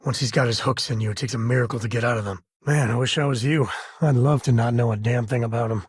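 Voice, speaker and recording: A man's voice: mid-range voice, fast, husky, no echo, close to an everyday voice. An actor playing a wry, good-hearted space explorer and father in a video game, speaking in a casual, folksy way. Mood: weary, bitter. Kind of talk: video game character dialogue.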